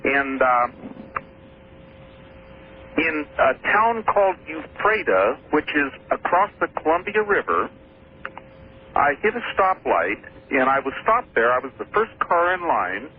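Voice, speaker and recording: A man's voice: on the high side, very animated, measured pace, long pauses. A man from Washington State calling into a radio show, hesitant and repeating his words. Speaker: caller. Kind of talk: radio call-in story. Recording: tinny phone line with faint noise.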